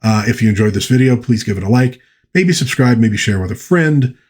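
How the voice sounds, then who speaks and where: Man, mid-pitched, husky voice, casual video host, rambling, self-correcting, enthusiastic fan reviewer. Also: animated, brisk, a little mellow. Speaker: host of a pop culture channel reviewing old pulp fiction. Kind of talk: casual rambling book review to viewers, with short read-aloud passages.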